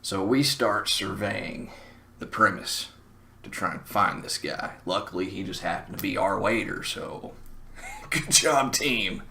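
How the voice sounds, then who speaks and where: Low, gravelly Southern storyteller guy. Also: fairly even intonation, unhurried, sharp tone, a little hiss or hum. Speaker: storyteller, show host. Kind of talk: casual comic anecdote storytelling.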